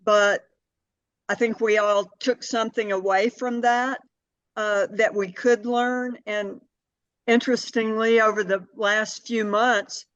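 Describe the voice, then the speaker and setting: Slow-talking woman, slightly dull recording. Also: fairly high pitch, fairly even intonation, a bit bright, clear, resonant tone, dry recording. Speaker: interview guest, community activist and city board member. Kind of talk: conversational interview answers.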